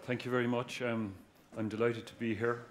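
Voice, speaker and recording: A man with a Cork Irish accent speaks at a middle pitch to a student audience. He jokes with the crowd and calls on them directly. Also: level tone, normal speed, very noisy. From Ireland. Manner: joking, addresses the audience directly. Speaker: guest speaker, research engineer. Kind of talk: public talk to students.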